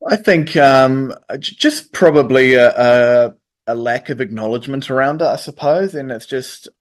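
A man, answering interview questions in a hesitant way with many pauses and restarts. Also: mid-range voice. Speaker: interview guest. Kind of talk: radio interview.

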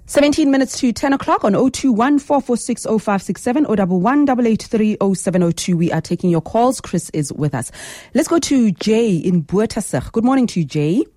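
A woman hosting a radio call-in, briskly reading out the number and bringing in callers. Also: a little high, lively intonation, warm tone, no room sound. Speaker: radio host.

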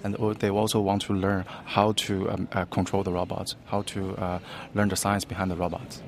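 A man's voice: low-pitched, level tone, normal speed, slight background noise. A man explaining and demonstrating in an interview, speaking non-native English. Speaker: robot demonstrator.

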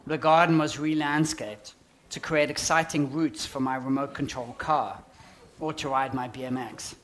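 A man in his forties with a South African accent, giving a prepared, measured public talk on design. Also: medium pitch, steady tone, fairly bright tone.

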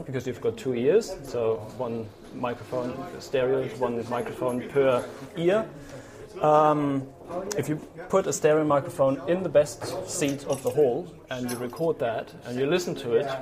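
A man, a sound engineer, explains his work informally and haltingly, thinking aloud as he goes. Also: mid-range voice, crowd noise. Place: large town hall concert venue. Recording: loud orchestra practice behind the voice. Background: orchestra musicians practising.

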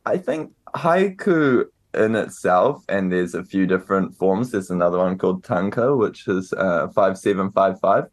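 A young New Zealand man answering interview questions in a relaxed, hesitant way, with many fillers and restarts. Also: low voice, moderate pace, few pauses. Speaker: author and guest.

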